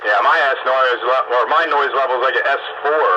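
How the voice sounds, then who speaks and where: A man's voice: medium pitch, fairly quick. A man chatting casually over amateur radio with folksy, sardonic grumbling. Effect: two-way radio transmission. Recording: muffled, noisy ham radio transmission. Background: clear radio static and noise.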